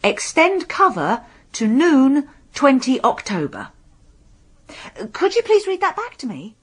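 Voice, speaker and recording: An actress playing a courteous, deferential English hotel receptionist with a husky voice in a radio crime drama. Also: female voice, high-pitched, huge pitch swings, slow pace, exaggerated voice. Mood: businesslike. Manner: courteous, obliging, careful.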